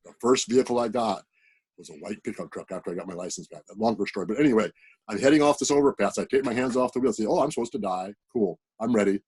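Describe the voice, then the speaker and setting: A man's voice: fairly low pitch, fast, a little mellow, husky. A man on a video call earnestly telling a personal spiritual story in a plain, direct way. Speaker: participant sharing a story.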